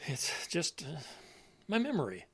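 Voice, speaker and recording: A man's voice: fairly high pitch, huge pitch swings, slightly rough, exaggerated voice. An actor playing an elderly widower with failing memory in an audio drama, meek and muddled, stammering as he hunts for words. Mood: meek, apologetic. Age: older. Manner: halting, stammering, searching for words.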